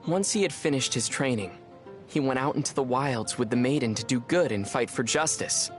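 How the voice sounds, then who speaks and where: A man as a calm young adventurer over music. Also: mid-range voice, normal speed, lightly acted. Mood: storytelling. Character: calm, wry young male adventurer hero. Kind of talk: scripted game dialogue and storytelling.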